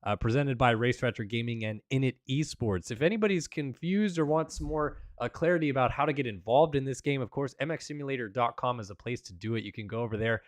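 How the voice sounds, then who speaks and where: A man's voice: medium pitch, quick pace, hardly any pauses, dry recording. A man doing play-by-play commentary for an esports race, his voice still recovering after being lost, in an upbeat announcer manner. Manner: upbeat announcer, reading sponsors and plugs.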